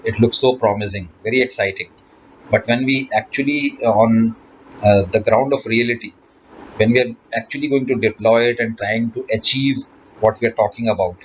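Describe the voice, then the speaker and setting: A man giving an explanatory lesson as a lecturer, speaking haltingly and rephrasing as he goes. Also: lowish voice. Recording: dull lo-fi recording with background noise.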